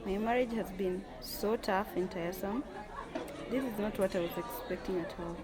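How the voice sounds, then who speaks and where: A woman's voice: medium pitch, moderate pace, crowd noise, natural voice, rough recording. A woman playing a proud, weary company boss in an amateur radio drama. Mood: disappointed, weary.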